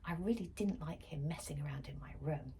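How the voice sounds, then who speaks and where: A woman's voice: low voice, fairly quick, vocal fry, warm tone, breathy edge. A woman reading a children's story aloud expressively, as for a school library.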